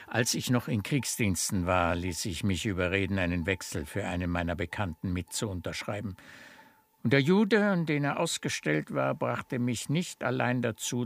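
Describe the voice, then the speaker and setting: A deep, effusive old-fashioned baron. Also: male voice, creaky, fairly bright tone, full character voice. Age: 50s. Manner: effusive, courtly, blustering. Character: effusive, opinionated fifty-year-old country baron. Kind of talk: German radio play acting.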